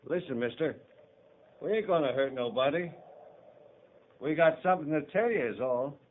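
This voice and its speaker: Wheedling Western outlaw, muffled recording. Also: male voice, fairly high pitch, animated. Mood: falsely friendly. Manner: drawn-out folksy coaxing, ungrammatical. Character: wheedling Western horse thief coaxing his way in. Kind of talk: 1950s Western radio drama acting. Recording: muffled, hissy 1950s radio recording.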